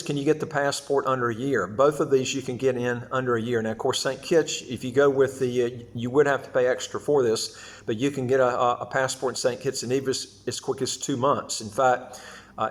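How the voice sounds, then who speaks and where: A man giving an informal explanatory talk to viewers as an advice host, halting and often repeating or stumbling over his phrases. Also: mid-range voice, steady tone. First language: English. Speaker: host of a second-passport advice channel.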